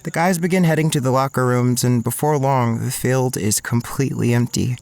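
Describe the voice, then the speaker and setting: A man's voice: medium pitch, normal speed, gravelly, a little mellow, close to an everyday voice. An insecure, dreamy young man played by an actor tells his own story as audio drama narration. He sounds earnest and introspective, in a mid-range voice. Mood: dreamy, envious. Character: self-conscious, dreamy, insecure young male student writer.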